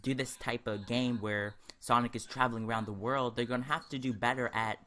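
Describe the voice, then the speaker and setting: A man's voice: medium pitch, steady tone, fairly quick, sharp tone. A man giving casual, rambling let's-play commentary, sharing his opinions as he goes. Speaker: host of a gaming video series.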